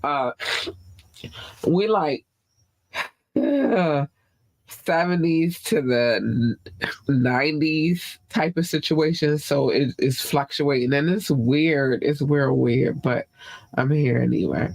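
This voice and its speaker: A man's voice: a little high, slow, creaky, long pauses, a little mellow, no echo. A man hosting a live podcast in a laid-back, chatty way, audibly congested. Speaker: podcast host. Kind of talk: casual live podcast talk.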